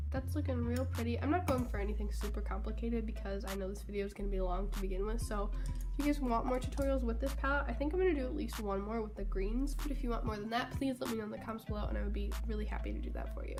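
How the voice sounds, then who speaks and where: A young woman with a congested, cold-worn voice chatting casually through a makeup tutorial, often trailing into soft half-whispers. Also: on the high side. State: congested, losing her voice from a cold. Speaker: beauty vlogger. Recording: music playing underneath.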